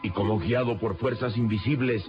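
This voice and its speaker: Male radio serial narrator over loud music. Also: fairly low pitch, steady tone, normal speed. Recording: dull lo-fi radio serial recording.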